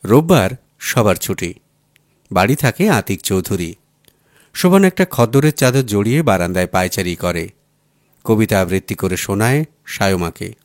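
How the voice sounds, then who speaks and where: A man's voice: on the low side, lively intonation, normal speed, pauses often, no echo, clean studio sound. A man reading a literary story aloud as a dramatised audiobook narration. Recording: music playing behind the voice. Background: music bed.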